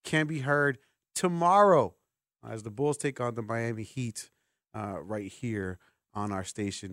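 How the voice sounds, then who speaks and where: A man's voice: medium pitch, no echo, studio quality. A man hosting a sports radio show in a conversational style.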